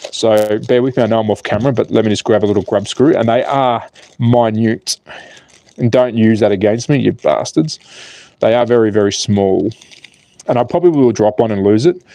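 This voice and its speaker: A man's voice: on the low side, brisk, warm tone. A man in his forties with an Australian accent, hosting a casual slot car livestream, cheeky and full of swearing while he reads out chat and works on a car. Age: 40s. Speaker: slot car channel host.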